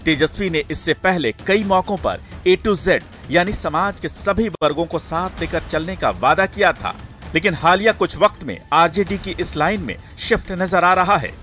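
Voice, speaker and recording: A man's voice: high voice, moderate pace, sharp tone. A man reading a scripted news report as a voice-over, in a dramatic, insinuating manner. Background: background music.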